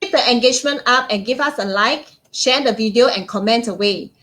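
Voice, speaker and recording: A woman's voice: a little high, a little fast, a bit bright. Upbeat woman co-host. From Singapore. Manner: upbeat, reads out announcements. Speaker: co-host of a travel livestream. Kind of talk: casual live-stream chat.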